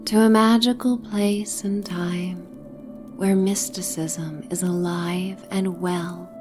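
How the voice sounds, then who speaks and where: Very slow, hushed woman narrating sleep meditation. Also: on the low side, steady tone, music in the background. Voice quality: soft, breathy, often near a whisper. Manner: gentle, soothing, hypnotic, with long pauses. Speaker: guided meditation narrator and host.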